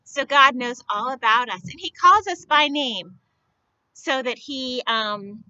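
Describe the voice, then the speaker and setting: A woman telling stories to young children in a simple, deliberate, expressive way, often putting questions to them. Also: high voice, clear, resonant tone, lo-fi sound. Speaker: children's storyteller at a church. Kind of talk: read-aloud storytelling for young children.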